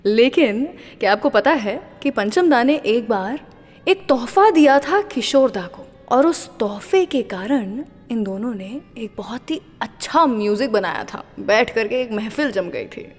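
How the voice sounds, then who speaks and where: A woman's voice: fairly high pitch, animated, measured pace, big-room sound. A woman hosting a classic-music radio show, telling a story and building suspense with questions. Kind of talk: scripted radio presenting.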